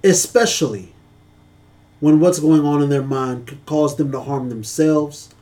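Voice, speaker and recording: A man's voice: fairly high pitch, a little slow, dark tone. A man co-hosting a casual church-talk podcast, speaking earnestly with a preacherly cadence.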